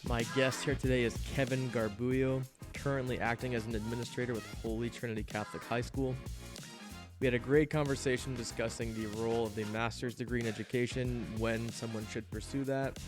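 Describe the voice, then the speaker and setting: A man's voice: mid-range voice, steady tone, a little fast, over music. A young man hosting a podcast, reading a scripted intro and then chatting casually with a guest, often chiming in with short agreements. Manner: agreeable, frequent short affirmations. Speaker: podcast host.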